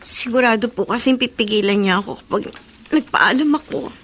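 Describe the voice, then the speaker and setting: A woman's voice: a little high, moderate pace, fairly bright tone, breathy edge. An actress playing an anxious young woman in a radio drama, fretting and pleading. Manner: fretful, pleading. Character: anxious young woman who ran away.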